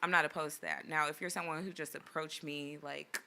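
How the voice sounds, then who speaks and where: Low-voiced, frank young woman. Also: fairly even intonation, a little fast, pushed and bright. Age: 20s. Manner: frank and opinionated, casual swearing. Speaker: podcast guest. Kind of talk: casual podcast chat.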